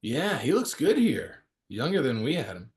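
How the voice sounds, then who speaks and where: A man's voice: medium pitch, huge pitch swings, normal speed, a little mellow. Guy doing chill friendly young ski dude, table read, normal mid voice, teasing and casual. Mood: casual surprise. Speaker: actor at a script table read. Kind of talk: casual naturalistic screenplay reading.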